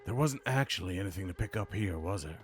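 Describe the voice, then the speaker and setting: A man doing video game commentary and voice practice in a dry, deadpan way, muttering at times. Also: sits low, quick pace. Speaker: gamer practicing voice acting. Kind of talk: gameplay commentary mixed with character-voice practice. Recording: clearly audible background noise, clicks.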